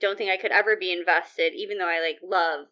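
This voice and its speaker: A woman's voice: on the low side, steady tone, fairly quick, pushed and bright. A young adult woman co-hosting a podcast, rambling casually with lots of filler words and some swearing. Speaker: podcast co-host. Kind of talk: casual chat. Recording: slightly dull lo-fi audio.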